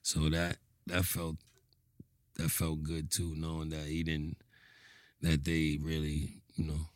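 A man's voice: rumbling low, completely flat delivery, slow, no echo, studio quality. A man in casual interview talk, laid-back, often trailing off and repeating words before dropping to a mumble. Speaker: rapper guest.

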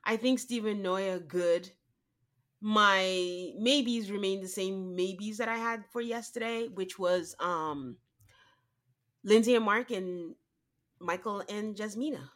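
A woman co-hosting a podcast, nasal and congested from a cold, chatting casually with quick asides and laughs. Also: mid-range voice, fairly bright tone. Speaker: podcast co-host. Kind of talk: casual chat.

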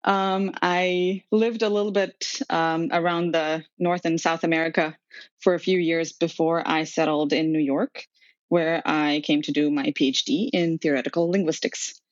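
A woman's voice: low voice, steady tone, normal speed, no room sound. A woman in her thirties from Serbia, telling her story as a podcast guest in a chatty way, with many ums and laughs. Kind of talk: conversational storytelling. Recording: dry, dull lo-fi sound.

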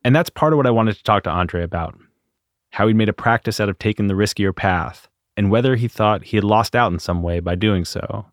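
A man's voice: fairly low pitch, fairly quick, dry recording. American man narrating in a dry studio. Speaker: podcast host. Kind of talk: scripted narration, interview introduction. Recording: dry studio, faint music behind.

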